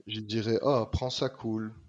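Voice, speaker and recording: A middle-aged man from Réunion with a Réunionese French accent tinged with Québécois, talking in an emphatic, lively way and repeating words for stress. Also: on the low side, a little slow, lo-fi sound. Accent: Réunionese French with some Québécois color. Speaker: guest. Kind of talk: casual conversation.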